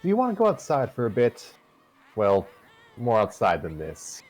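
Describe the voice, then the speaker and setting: A man voicing a guarded young college woman in a story reading, curtly polite and quietly protective. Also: mid-range voice, wildly swooping pitch, moderate pace, soft background music. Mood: gently concerned.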